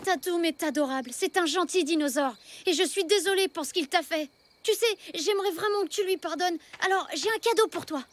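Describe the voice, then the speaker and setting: Very high-voiced, earnest little boy. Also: fairly quick. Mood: pleading. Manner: earnest, expressive, indignant when wronged. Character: earnest, loyal young prehistoric boy, dinosaur's best friend. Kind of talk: cartoon voice acting, French dub.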